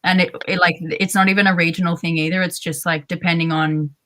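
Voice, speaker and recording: Woman guest, lower voice with a bright edge, relaxed. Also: fairly quick. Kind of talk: casual chat.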